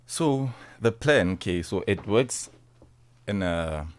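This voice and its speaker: A man's voice: on the low side, lively intonation, takes it slowly. A nineteen-year-old man with a South African accent, answering interview questions informally as a sports guest, often repeating and rephrasing. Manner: informal, repeats and rephrases, tags phrases with "you see". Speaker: interview guest, rugby player.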